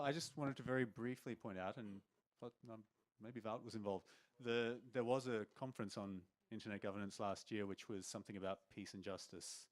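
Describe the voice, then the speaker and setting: A man with a low voice argues his point in a meeting. He is insistent and keeps restarting his sentences, but he always circles back to his objection. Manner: insistent, stumbling over false starts. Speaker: meeting participant. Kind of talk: debating in a meeting.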